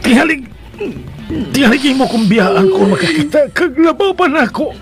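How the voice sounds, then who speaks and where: An actor playing a jealous, needy young husband in a radio drama, theatrical and volatile, with a Filipino accent. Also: male voice, very high voice, lively intonation, moderate pace. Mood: remorseful, tearful. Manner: theatrical, swinging from barked demands to pleading. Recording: loud dramatic music bed.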